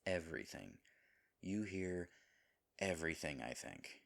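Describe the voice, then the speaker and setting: Deep-voiced, flustered himbo boyfriend, acted by a man. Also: very animated, creaky, a bit bright, heavily acted. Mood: tender, bewildered. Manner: halting, rambling, flustered. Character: sweet, dim himbo boyfriend, flustered and earnest. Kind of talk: audio roleplay acting, intimate one-sided dialogue.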